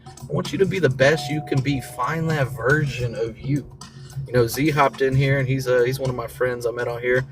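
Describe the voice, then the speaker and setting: An American man with a mid-pitched voice talks over clearly audible music. He hosts a casual motivational show in slangy, encouraging language. He drives points home by repeating key phrases. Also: fairly even intonation, moderate pace. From southern United States (Mississippi and Florida). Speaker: talk show host. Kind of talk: casual motivational livestream talk. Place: in a vehicle during a commute.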